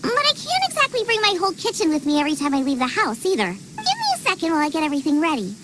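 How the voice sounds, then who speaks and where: An actress playing an excitable, cheerful young female chef in a video game, with a bubbly, eager and expressive manner. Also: extremely high pitch, racing, heavy background noise, exaggerated voice. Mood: eager, explaining. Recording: game music behind.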